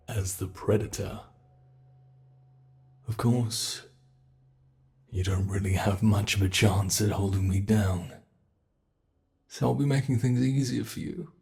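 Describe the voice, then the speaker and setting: A British man voicing a teasing, predatory male monster who holds the listener captive, speaking slowly and mockingly in an ASMR horror roleplay. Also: fairly low pitch, vocal fry, mellow. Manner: slow, taunting, toying with the listener.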